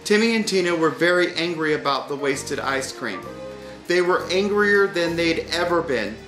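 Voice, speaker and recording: A man reading a children's story as scripted narration, with a wry, deliberate delivery. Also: a little high, lively intonation, fairly bright tone. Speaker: audiobook reader. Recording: roomy sound with music behind.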